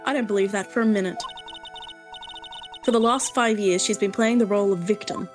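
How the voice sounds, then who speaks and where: An earnest young female rookie lawyer from a courtroom video game, acted by a woman. She argues with indignant conviction at a middle pitch. Music plays clearly behind her. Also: steady tone, brisk, natural voice.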